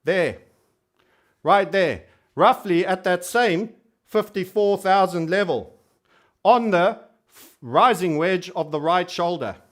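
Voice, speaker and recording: A man's voice: sits high, takes it slowly, pauses often. A man hosting an informal online trading commentary, speaking emphatically and repeating phrases for stress, with a cheeky, colourful way of putting things. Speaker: YouTube trading host.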